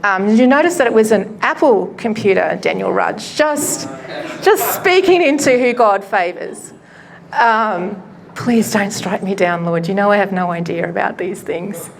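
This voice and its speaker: A woman pastor giving a live presentation to an audience at a launch event, loud and chatty, often laughing and poking fun at herself, her microphone cutting in and out. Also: medium pitch, animated, measured pace, vocal fry. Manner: loud, chatty and self-mocking; laughs often while talking. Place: event venue.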